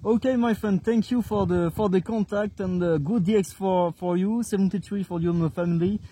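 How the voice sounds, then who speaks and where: A man from France with a French accent, making radio contacts in a halting way and repeating his words. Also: sits high, fairly even intonation, mellow, breathy edge. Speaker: amateur CB radio operator.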